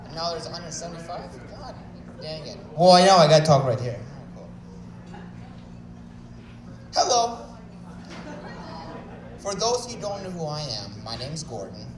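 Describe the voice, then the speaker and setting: A young man with a Chicago accent giving a joking, self-deprecating wedding speech, mumbling a little. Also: a little high. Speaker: wedding speaker. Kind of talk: wedding toast. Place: wedding reception hall. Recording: echoey hall, from far off.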